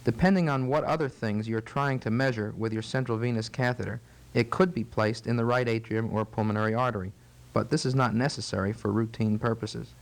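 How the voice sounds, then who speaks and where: A man's voice: mid-range voice, steady tone, fairly quick, creaky. A man speaking as a physician in a teaching interview, explaining things formally, precisely and methodically. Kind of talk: measured medical teaching interview.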